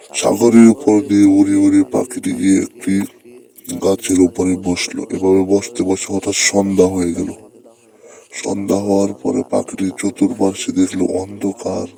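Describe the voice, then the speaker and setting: A man with a low voice narrates a children's tale. His delivery is extremely flat, and he uses simple, repetitive wording as he addresses little listeners. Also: moderate pace, vocal fry. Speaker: storyteller, narrator.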